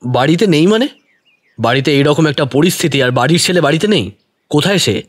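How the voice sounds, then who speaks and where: A man narrating a detective story in the first person, expressive and deliberate, also speaking the detective's lines. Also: fairly high pitch, in a large hall. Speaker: narrator who also voices the young detective.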